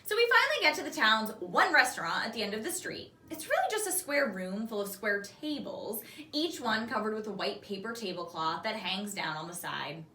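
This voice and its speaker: A woman reading a children's novel aloud like a teacher, expressive and animated, acting out the dialogue. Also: medium pitch, normal speed, a little hiss or hum. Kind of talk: expressive storybook read-aloud. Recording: roomy echo, distant mic.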